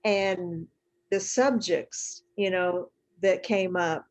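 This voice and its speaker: An older woman, a retired teacher, talking casually and haltingly, pausing often between short phrases. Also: lowish voice, steady tone, a little mellow. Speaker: retired high school teacher, show participant. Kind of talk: casual chat.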